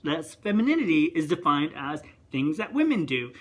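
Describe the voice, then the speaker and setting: A young man talks in a casual vlog rant. His voice sits a little high, and strangers sometimes take it for a woman's. He rambles, breaks off mid-thought and circles back. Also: very animated, moderate pace, fairly bright tone. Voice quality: higher voice, sometimes heard as female. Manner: rambling, chatty, self-interrupting. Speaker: vlogger.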